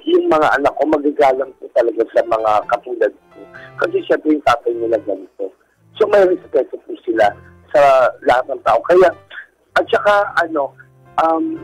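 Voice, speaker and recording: A man's voice: mid-range voice, husky, no room sound, poor audio. A man with a Filipino accent speaking politely and casually as a guest sharing personal experiences. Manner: polite, using respectful particles. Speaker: guest telling their own story.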